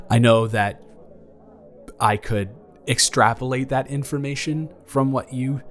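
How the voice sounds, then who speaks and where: Earnest, halting young ex-boyfriend, played by a man, slow-paced. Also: medium pitch, fairly even intonation, fairly bright tone, slight background noise. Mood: shy, tender. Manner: halting, rambling, earnest delivery with repeated restarts. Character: earnest, nervous, tender young ex-boyfriend wanting reconciliation. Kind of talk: improvised romantic audio roleplay, intimate conversational monologue.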